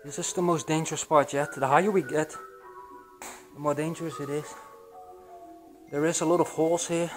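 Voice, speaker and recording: A man's voice: fairly high pitch, normal speed, long pauses, faint music behind. Young Belgian man exploring with a camera. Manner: excited, hushed, with frequent fillers. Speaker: urban explorer vlogger. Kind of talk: casual vlog narration. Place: abandoned building, echoey halls and attic. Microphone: action camera, handheld or body-worn.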